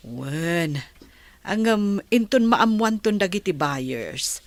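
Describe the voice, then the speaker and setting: Casting: actress for Ilocano Filipino village mother, patient counsel, measured pace, close dry radio drama sound. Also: medium pitch, animated, no room sound. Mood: patient, advising.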